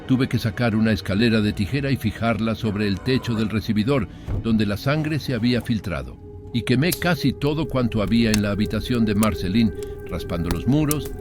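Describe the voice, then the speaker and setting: A man narrating a horror story in a dramatic first-person audiobook style. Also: fairly low pitch.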